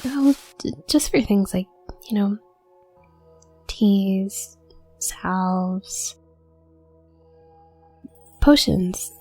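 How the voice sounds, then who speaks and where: A woman's voice: medium pitch, extremely slow, pauses often, dark tone, breathy edge, soft background music, heavily acted. A woman voicing a shy, flustered young student witch who stutters nervously and trails off in an intimate audio roleplay. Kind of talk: intimate audio roleplay, soft-spoken acting.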